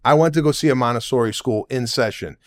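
A man's voice: medium pitch, fast. A man hosting a casual talk show, a comedian and father who rambles with jokey comparisons. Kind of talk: casual chat.

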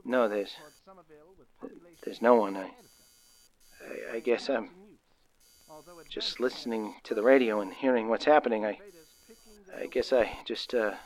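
A man's voice: mid-range voice, unhurried, long pauses, close to an everyday voice, rough recording. Actor as hesitant, lonely, frightened male caller. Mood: lonely, subdued. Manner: hesitant, stammering, pleading. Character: lonely, frightened man phoning the operator. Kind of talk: 1940s radio drama acting.

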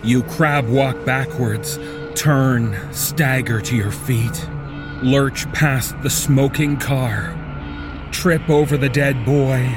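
A man giving a dramatic, scripted horror narration in a grave, deliberate and intense way. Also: medium pitch, steady tone. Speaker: story narrator. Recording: prominent music bed behind the voice. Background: music.

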